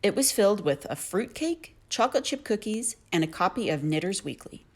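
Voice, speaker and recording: A woman narrating a children's story in an expressive storytelling manner. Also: mid-range voice, a little fast.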